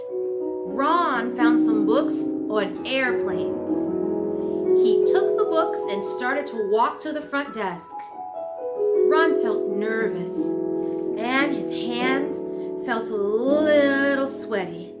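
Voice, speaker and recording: A woman narrating a children's story as host, with an expressive, deliberate storyteller delivery. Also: a little high. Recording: roomy echo under prominent music. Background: guitar and piano music score.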